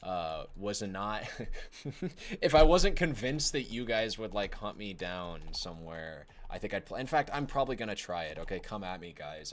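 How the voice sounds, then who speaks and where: A man's voice: sits low, fairly bright tone, slight background noise. A young man talking casually while playing a game, rambling and apologetic, with lots of fillers and restarts and a slightly whiny tone. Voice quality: self-described whiny voice. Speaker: gaming video creator.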